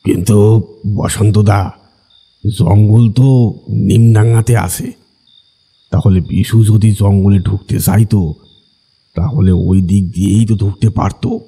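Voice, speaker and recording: A man voicing a puzzled village henchman who questions his boss, hesitant and husky, in an audio story. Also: fairly low pitch, fairly even intonation, takes it slowly, long pauses, dark tone, exaggerated voice. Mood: doubtful.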